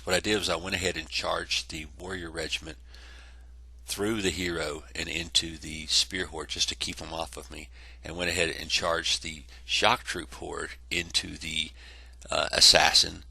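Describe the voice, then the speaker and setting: A man's voice: low voice, creaky, bright, forceful tone, breathy edge, dry recording. A congested man casually narrating a tabletop wargame battle report, rambling with many fillers. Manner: rambling, self-correcting, lots of fillers.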